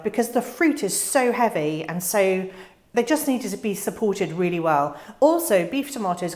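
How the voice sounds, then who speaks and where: A woman's voice: mid-range voice, darkish tone. A young British woman hosting her own gardening channel, explaining tomato varieties in a friendly, chatty way with the odd filler. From United Kingdom. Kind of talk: casual instructional voice-over.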